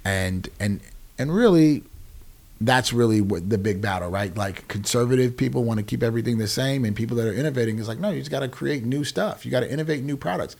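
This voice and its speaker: A man's voice: medium pitch, fast, gravelly, darkish tone. An American man in his forties explaining things conversationally as an interview guest, emphatically and often checking that the listener follows. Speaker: podcast guest, nonprofit founder.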